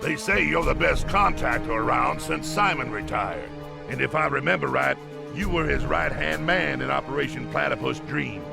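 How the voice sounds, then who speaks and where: A gravelly old army colonel. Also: male voice, medium pitch, moderate pace, over loud music. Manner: gruff, bossy, folksy. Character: blustering older male army colonel, cigar-loving commander. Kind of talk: video game voice acting.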